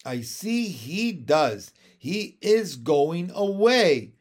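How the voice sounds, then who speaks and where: A man's voice: a little high, very animated, takes it slowly, exaggerated voice. A man voicing a fussy, haughty lady dog owner in a storybook, indignant and wailing in her exclamations. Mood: distressed, pleading.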